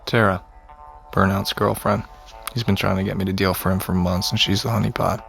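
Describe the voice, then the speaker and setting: An actor playing a self-loathing, heroin-addicted young ex-con who drinks, in naturalistic audio fiction, drowsy, slurred and bitterly sardonic. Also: male voice, deep voice, level tone, brisk, vocal fry, fairly bright tone, soft background music, full character voice.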